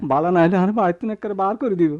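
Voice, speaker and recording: A man's voice: sits high, fast, a little mellow, dull audio, natural voice. A man acting in a radio drama as a garrulous, stubborn village husband, thrifty and pleading, with a Noakhali accent. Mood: pleading, haggling. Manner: rustic, insistent, pleading.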